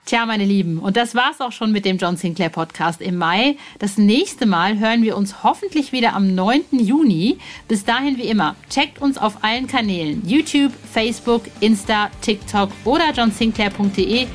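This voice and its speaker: A woman's voice: medium pitch, expressive, moderate pace, few pauses, faint music behind. A woman hosting a podcast, chatting in an informal, slangy and effusive way. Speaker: podcast host. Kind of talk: casual chatty interview.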